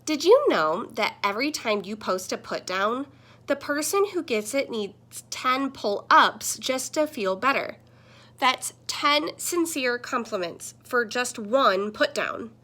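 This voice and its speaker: A woman voicing a friendly, earnest talking computer screen that gently scolds, in a children's picture book. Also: a little high, lively intonation, slow pace, exaggerated voice. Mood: earnest, warning. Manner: earnest, explanatory.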